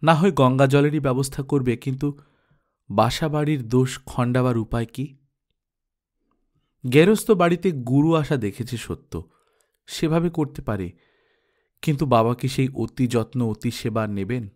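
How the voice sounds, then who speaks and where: A man's voice: medium pitch, a little slow, pauses often, a little mellow. A man narrating a literary story as an audiobook reader, with dramatic scripted delivery.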